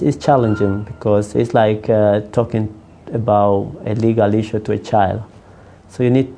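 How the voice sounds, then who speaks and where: A man working as a counselor whose first language is not English, answering interview questions in a halting way, with many restarts. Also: lowish voice, warm tone, slight background noise.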